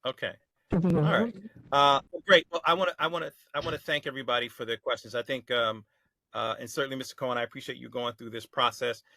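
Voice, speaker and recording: A man's voice: mid-range voice, fast, creaky, sharp tone, no echo, rough recording. A man chairing a community board meeting over a video call, polite and formal, often restarting his sentences. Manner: polite, formal, addresses speakers as sir. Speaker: committee chair.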